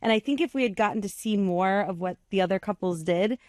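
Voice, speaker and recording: A woman's voice: medium pitch, fairly quick, darkish tone, dry recording, clean studio sound. An American woman chatting casually as a panelist on a TV recap show.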